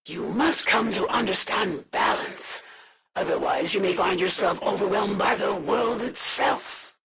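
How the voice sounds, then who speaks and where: A woman voicing a proud, menacing alien assassin for a video game, speaking formally and scornfully with a gravelly, theatrical delivery. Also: medium pitch, crowd noise. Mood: stern warning. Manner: formal, haughty, measured and threatening.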